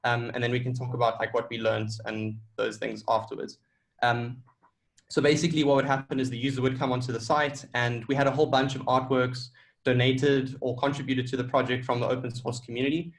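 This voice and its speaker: A man gives an informal conference-call presentation about a tech project. His pitch is middling and stays fairly flat from phrase to phrase. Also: a little fast.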